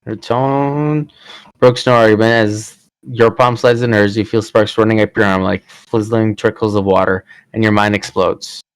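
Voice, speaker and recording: A man's voice: lowish voice, steady tone, moderate pace, smooth, dry recording, low-quality recording. A man reading scripted fantasy story narration aloud as a player in a party game.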